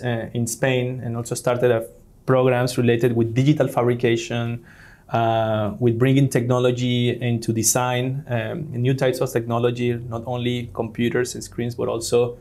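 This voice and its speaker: A man from Venezuela talks through an interview as the guest, pausing often on fillers and restarting his sentences. His pitch sits in an ordinary middle range, neither high nor low. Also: a little mellow. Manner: hesitant, many fillers and restarts. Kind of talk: conversational interview.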